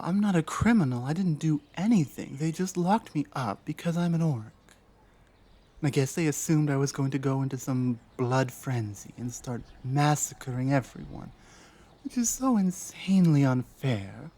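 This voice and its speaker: A woman playing a timid, wary orc outcast, speaking haltingly with stammered restarts in an ASMR roleplay drama. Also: deep voice, dark tone, exaggerated voice. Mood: hurt, indignant.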